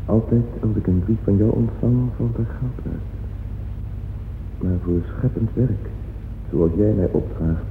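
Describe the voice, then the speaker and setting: An actor playing a courteous, proud young male composer in a radio play, reciting in a formal, measured, earnest way. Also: low-pitched. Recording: echoey, phone-like old radio recording, faint noise.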